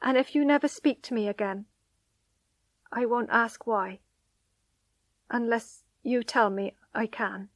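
Storybook heroine, a young wife pleading with her husband, high and drawn-out, read by a woman. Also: no echo. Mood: submissive.

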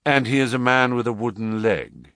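A man reading aloud, playing a calm, precise male detective who asks measured, probing questions. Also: medium pitch, dry recording, studio quality, close to an everyday voice. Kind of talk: audiobook reading.

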